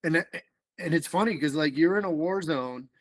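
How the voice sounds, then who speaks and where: A middle-aged man from the Dominican Republic, talking casually as a podcast guest in an animated, rambling way with lots of fillers and restarts. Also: fairly high pitch, normal speed, dry recording. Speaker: political organizer guest.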